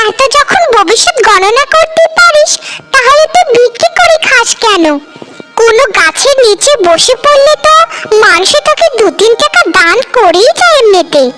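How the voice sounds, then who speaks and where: Cartoon village wife, played by a woman: young, rude, sarcastic, quick-tempered, very high-pitched. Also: rough recording. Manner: scolding, sarcastic. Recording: music behind the voice.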